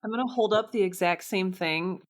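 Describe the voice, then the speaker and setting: A woman's voice: mid-range voice, brisk. A woman hosting a podcast, asking questions in a casual, conversational interview style.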